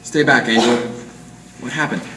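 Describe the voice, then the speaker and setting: An amateur actor playing a hard-boiled 1940s private eye in a radio noir, clipped, deadpan and slangy. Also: male voice, medium pitch, normal speed, natural voice. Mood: urgent, commanding. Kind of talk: 1940s radio noir acting with first-person narration. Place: live presentation in a roomy gallery. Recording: echoey room, distant mic, faint noise.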